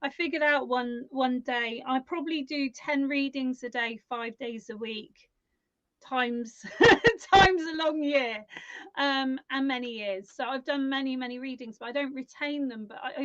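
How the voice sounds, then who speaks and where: Woman in her 40s, high soft voice, gentle reader, dull dry lo-fi stream sound. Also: measured pace, no echo. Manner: gentle, soothing, calls listeners darling and love. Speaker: psychic reader, co-host. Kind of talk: live-stream reading, casual chat.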